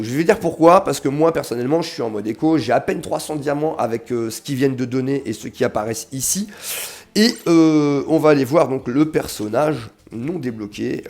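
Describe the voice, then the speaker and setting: A man's voice: mid-range voice, vocal fry, darkish tone. A young man with a slight cold giving casual, slangy gaming commentary to his viewers, often reading game text aloud. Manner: chatty, slangy, addresses viewers as "les gars", reads game text aloud. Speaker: mobile game video creator.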